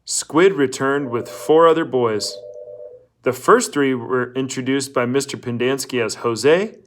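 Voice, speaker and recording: A man's voice: medium pitch, unhurried, slight background noise. A man reading a novel aloud as a scripted story narrator.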